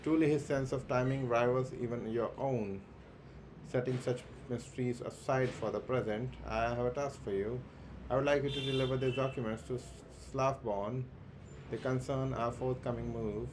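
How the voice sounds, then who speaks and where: A man's voice: medium pitch, steady tone. Man reading game dialogue over noise. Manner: reads slowly and plainly with stumbles and restarts. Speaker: streamer. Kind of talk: casual game stream, reading dialogue aloud.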